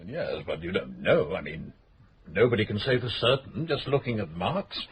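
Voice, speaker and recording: A nervous, evasive middle-aged widower in a radio drama, stumbling and flustered under questioning. His mid-pitched voice rises and dips a lot, over a faint hiss. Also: very animated, normal speed. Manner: hesitant, flustered.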